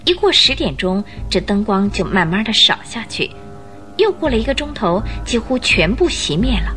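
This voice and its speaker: A woman narrator tells a suspense story for radio drama with very animated intonation. Her voice is bright and mid-pitched, expressive throughout, with soft music behind it. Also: moderate pace.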